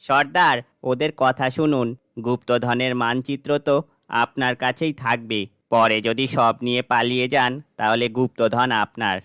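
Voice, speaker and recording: A man acts as a crafty young captive in a cartoon folk tale, talking persuasively. His voice is bright and crisp, recorded dry and close. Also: mid-range voice, steady tone, measured pace, dry recording, natural voice. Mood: goading. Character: sly young male captive spinning tales to sow distrust. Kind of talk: cartoon fairy-tale voice acting.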